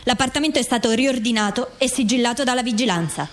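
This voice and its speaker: A woman playing a commander's murdered wife in a radio drama, giving sober, measured, factual testimony. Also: on the high side, natural voice. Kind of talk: scripted radio drama monologue.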